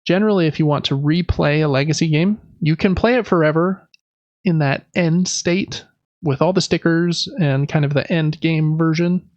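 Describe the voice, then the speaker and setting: A man's voice: fairly high pitch, moderate pace, darkish tone, no echo, highs cut off. A man hosting a casual board game podcast, talking in an informal, conversational, meandering way. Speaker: podcast host.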